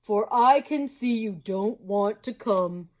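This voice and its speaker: A woman voicing a jolly, polite male moose who coaxes sweetly and draws out his words slowly. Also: mid-range voice, darkish tone, lightly acted. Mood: cheerful. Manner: sweetly coaxing, drawn-out delivery.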